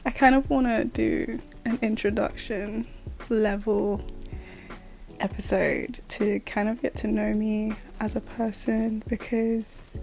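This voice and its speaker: A woman's voice: fairly high pitch, steady tone, takes it slowly, creaky, a little mellow, faint music behind. A woman in her late twenties hosting her own podcast, talking casually and rambling with fillers. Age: 20s. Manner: chatty, rambling, with laughs and fillers. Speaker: podcast host. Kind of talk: casual solo podcast chat.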